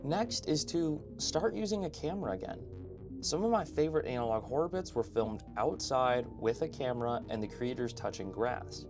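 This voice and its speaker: Man, mid-range pitch, casual video essay host, opinionated, informal asides, scripted commentary, music behind the voice. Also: lively intonation, moderate pace. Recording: background music under voice-over.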